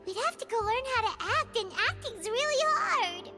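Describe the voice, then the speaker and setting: Tiny, very high, blunt companion. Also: female voice, expressive, heavily acted. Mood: doubtful. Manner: frank, chatty, quick to object. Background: loud game music.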